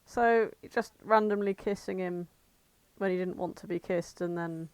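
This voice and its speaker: A woman's voice: on the low side, moderate pace, darkish tone, dry recording. A woman co-hosting a podcast in casual chat, with an opinionated, teasing manner. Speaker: podcast co-host.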